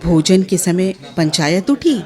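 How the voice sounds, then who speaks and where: A woman's voice: on the low side, animated, slow, warm tone. A woman narrating a radio play in a dramatic, scripted storytelling style.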